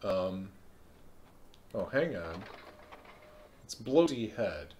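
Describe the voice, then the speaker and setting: A man streaming a video game live, thinking aloud in a relaxed way with frequent ums. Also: low voice, very slow, slight background noise. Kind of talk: live let's-play commentary.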